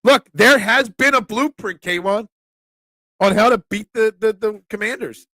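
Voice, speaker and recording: A man's voice: sits high, a little slow, pushed and bright, no echo. A young man with a Philadelphia accent hosting a casual sports talk stream, chatting loosely and answering viewers by name. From Philadelphia area. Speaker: sports talk show host.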